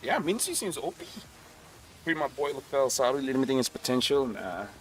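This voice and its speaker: A man chats loosely with his stream viewers, answering their comments in an offhand, easygoing way. His voice sits at an ordinary pitch and sounds set back from the mic, with a touch of distance in the sound. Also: big pitch swings, moderate pace, a little hiss or hum. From Malaysia. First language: English. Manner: chatty and offhand, reads out chat comments. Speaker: streamer hosting a watch party. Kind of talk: casual chat with viewers.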